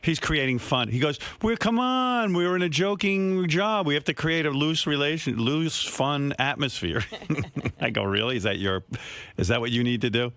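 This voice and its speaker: A man's voice: a little high, animated, moderate pace, a bit bright, no echo. A man hosting a radio show, chatting casually with a wry, amused way of retelling stories. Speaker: radio host. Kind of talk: casual on-air chat.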